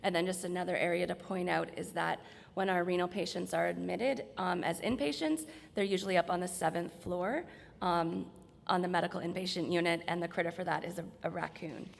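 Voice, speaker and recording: A woman with a slightly hoarse voice gives an informal, explanatory slide presentation in a large room. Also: fairly low pitch, fairly even intonation, fairly quick, slight background noise. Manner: explanatory, conversational. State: slightly hoarse, losing her voice. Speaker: presenter from hospital staff. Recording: reverberant hall.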